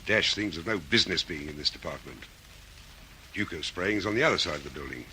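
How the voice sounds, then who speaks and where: A man's voice: rumbling low, expressive, fairly quick, pushed and bright, full character voice. A man playing a flustered, indignant but polite factory manager in an old radio drama, fussy and a little hesitant. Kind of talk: 1940s radio drama acting. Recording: vintage radio recording, faint noise.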